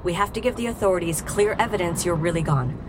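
An actress playing an unflappable fugitive schemer in an audio drama, brisk, deadpan and authoritative. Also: female voice, fairly low pitch, natural voice. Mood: commanding. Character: cool, commanding woman fugitive and schemer, unflappable. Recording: vehicle noise behind.

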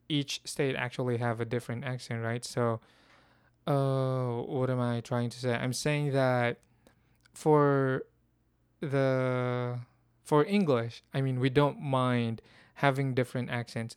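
Young guy rambling on his podcast, lots of restarts, super smooth clear voice, dry close sound. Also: medium pitch, no room sound. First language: not Korean. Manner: thinking aloud, many restarts and self-corrections. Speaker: podcast host. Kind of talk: casual podcast monologue.

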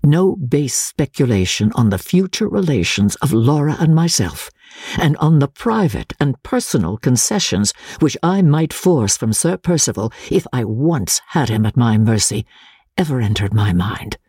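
Husky, low-voiced woman reading a classic novel. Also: sing-song, moderate pace, warm tone, no echo, studio quality. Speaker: audiobook narrator. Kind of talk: dramatic literary narration.

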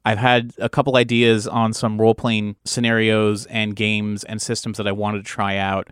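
A man's voice: fairly low pitch, little pitch movement, moderate pace, fairly bright tone, clear, resonant tone, dry recording, studio quality. A man chatting casually as a game master, rambling and often rephrasing his thoughts midway. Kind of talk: casual chat.

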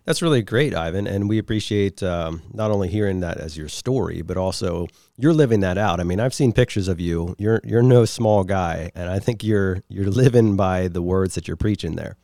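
A man hosting a podcast, introducing a guest and asking questions in a steady, measured way. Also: sits low, creaky, dry recording, clean studio sound. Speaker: podcast host. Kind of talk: reading a guest introduction, then interviewing.

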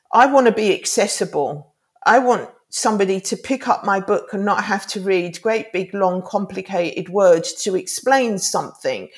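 Earnest bilingual woman, Greek first language. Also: mid-range voice. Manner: earnest, rambling, many restarts. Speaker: author and life coach. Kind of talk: casual podcast interview.

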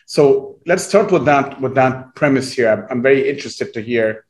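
A man hosts a conversational interview. His mid-pitched voice sounds echoey, as from a live room on a video call. He speaks reflectively, building up long questions. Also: moderate pace. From Egypt. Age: 40s. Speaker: interview host.

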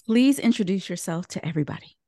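A woman's voice: on the low side, fast, dry recording, studio quality. A woman hosting a podcast, playful and joking as she welcomes a guest. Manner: playful, animated, jokes about herself. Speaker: podcast host. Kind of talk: casual podcast chat.

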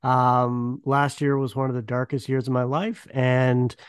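A man's voice: mid-range voice, level tone, unhurried, smooth, no room sound, clean studio sound. A man hosting a podcast interview in a casual, chatty way with wry asides.

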